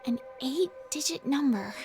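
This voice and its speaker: High swoopy young game girl, curious and anxious, loud music all over it. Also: expressive, unhurried. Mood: curious, worried. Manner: questioning. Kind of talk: video game voice acting. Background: loud video game music.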